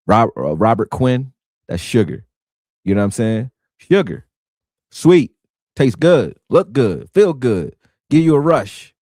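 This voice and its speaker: A man rants through a live sports talk stream. His pitch swings widely as he talks, and he keeps leaning on "you know what I'm saying." The sound is close and dry. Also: a little mellow, no room sound. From Philadelphia. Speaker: sports show host.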